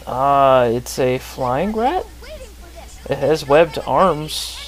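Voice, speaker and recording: A man's voice: medium pitch, expressive, extremely slow. A man making brief, wry remarks as casual co-commentator on a video game. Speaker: let's play co-commentator. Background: video game music and sound.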